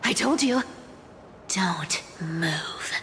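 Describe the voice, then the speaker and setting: A woman playing a sly, guarded rogue in a video game, teasing with a bitter edge and dropping into breathy murmurs. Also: lowish voice, lively intonation, very slow, a bit bright, a little hiss or hum, full character voice. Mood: urgent, hushed. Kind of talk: dramatic video game voice acting. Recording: long hall reverb, music behind. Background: music.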